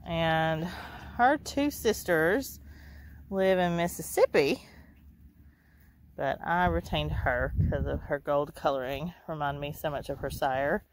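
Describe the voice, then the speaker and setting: A goat breeder gives a casual, chatty farm tour, laughing softly and talking to her goats as she walks around an outdoor pen. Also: sits low, slow, a little hiss or hum. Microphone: handheld camera.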